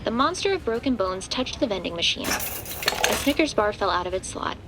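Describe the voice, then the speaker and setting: A woman narrates a horror audio drama from a script. Her voice sits at a middle pitch, with clear noise behind it. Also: sing-song, normal speed.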